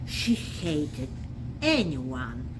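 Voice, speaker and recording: A woman reading a children's bedtime story aloud expressively, with dramatic pauses and breathy drops. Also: a bass voice, very animated, noisy background.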